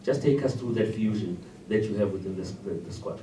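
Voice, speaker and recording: Male reporter, very dark low voice, far from mic in echoey room, rambling question. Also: a little fast, slight background noise. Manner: long rambling question with fillers. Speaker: sports journalist. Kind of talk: press conference question.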